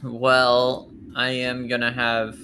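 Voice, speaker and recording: A man's voice: mid-range voice, monotone, slow, sharp tone, slight background noise. A man chatting casually as a tabletop roleplay player, hesitantly thinking aloud and swearing casually. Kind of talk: casual game chat.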